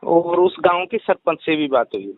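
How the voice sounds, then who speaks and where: A man's voice: fairly high pitch, lively intonation, moderate pace, dry recording. A man being interviewed over the phone, explaining a case earnestly and repeating his key points. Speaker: rationalist society president being interviewed by phone. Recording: muffled radio-like phone call recording.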